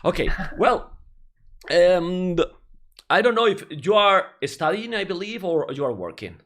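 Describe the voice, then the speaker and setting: Man, Spanish native, casual interviewer, teasing, laughs a lot, slightly high voice, video call. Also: sing-song, unhurried, a bit bright. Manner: playful, teasing, laughs often.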